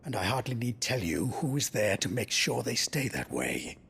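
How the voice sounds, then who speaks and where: An actor playing a gruff, protective rebel comrade in a video game, blunt, grumbling and exasperated but loyal. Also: male voice, medium pitch, sing-song, normal speed, fairly bright tone, a little hiss or hum. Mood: grim. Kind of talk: video game cutscene acting.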